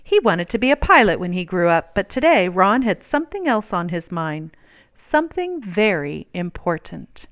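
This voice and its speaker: A woman reading a children's storybook aloud in an expressive, deliberate storytelling manner. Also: lowish voice. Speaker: storybook reader.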